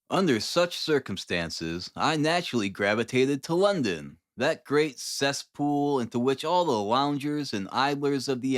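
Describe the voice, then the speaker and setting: Expressive male audiobook narrator, close dry sound. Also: medium pitch, dry recording. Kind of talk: first-person classic novel narration.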